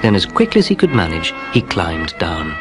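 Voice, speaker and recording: A man reading a children's story in an expressive narrating style. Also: deep voice, moderate pace. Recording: music playing underneath.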